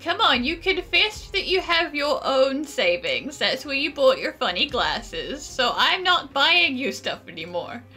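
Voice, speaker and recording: A woman's voice: sits high, sing-song, unhurried, hardly any pauses, pushed and bright, faint music behind. A woman giving casual, teasing, giggly commentary while playing a video game. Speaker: gaming streamer. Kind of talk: casual game playthrough commentary, reacting aloud.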